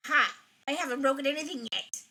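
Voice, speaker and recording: A woman's voice: high-pitched, a little fast, pushed and bright. A young woman chatting casually while playing a game, rambling with frequent restarts. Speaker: streamer. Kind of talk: casual gaming chat.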